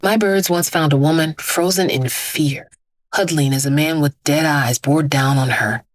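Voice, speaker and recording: Actress as immortal vigilante bag lady, low female voice, solemn, incantatory, grieving mother, audio drama monologue, dry close sound. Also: no echo. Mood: grim, solemn. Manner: deliberate, solemn, incantatory storytelling with repeated phrases.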